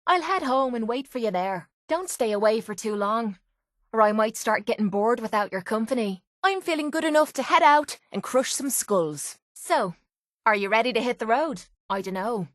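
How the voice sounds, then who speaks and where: A woman's voice: on the high side, animated, moderate pace, dry recording, studio quality, close to an everyday voice. A woman playing a brash, foul-mouthed young Irish brawler in a video game, blunt and sardonic but vulnerable underneath, with an Irish accent. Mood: brash, impatient. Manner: blunt, gruff, sardonic, informal. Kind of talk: video game companion voice lines.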